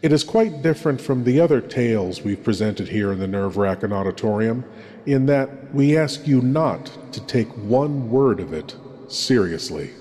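A man performing a theatrical horror host lord, speaking deliberately and ominously as he introduces radio horror tales. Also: medium pitch, lively intonation, mellow, close to an everyday voice. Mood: ominous, formal. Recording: long reverberant hall, some noise.

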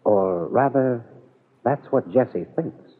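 A man with a husky voice giving dramatic scripted narration for a 1940s radio serial. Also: medium pitch, lively intonation, slow pace. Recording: muffled old radio broadcast.

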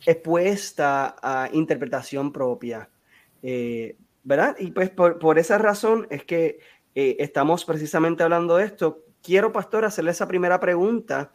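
A man hosting a podcast interview, talking hesitantly with many fillers and tag questions. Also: on the high side. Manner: hesitant, frequent fillers and "¿verdad?". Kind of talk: casual interview.

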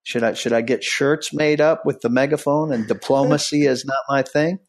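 A man's voice: medium pitch, fairly even intonation, moderate pace. A middle-aged man hosting a podcast, talking in a blunt, rambling way with many restarts and fillers. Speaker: podcast host. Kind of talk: casual podcast chat.

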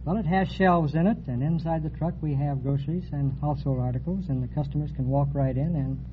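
An American man from California answers a quiz host briefly and plainly. His voice is slightly high. The vintage radio recording is thin and tinny, with faint noise. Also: quick pace. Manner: brief, matter-of-fact answers. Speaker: quiz show contestant, door-to-door salesman.

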